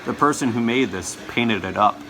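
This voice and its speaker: A man's voice: medium pitch, normal speed. Casual man rambling to his viewers. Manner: rambling, stumbles and corrects himself. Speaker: vlogger showing his collection. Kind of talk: casual chat to camera.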